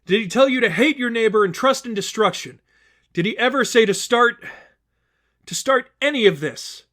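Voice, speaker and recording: A man's voice: very high-pitched, expressive, moderate pace, sharp tone, voice pushed up, heavily acted. A man voicing a defiant, earnest young woman in a dramatic story reading, speaking emphatically as if rallying a crowd. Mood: impassioned. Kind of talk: dramatic audiobook-style fan fiction reading.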